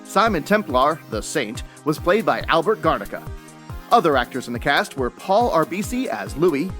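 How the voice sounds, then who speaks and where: A man's voice: a little high, very animated, normal speed, a bit bright, faint music behind. A man working as announcer, reading scripted credits.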